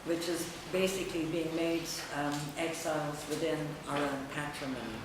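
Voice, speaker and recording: A middle-aged woman, a writer, presenting her work in a halting, reflective public talk with long pauses. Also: sits low, fairly even intonation. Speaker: writer and editor presenting own essay. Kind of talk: public talk, semi-improvised. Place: echoey indoor room at a public event. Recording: very echoey distant room recording, noisy.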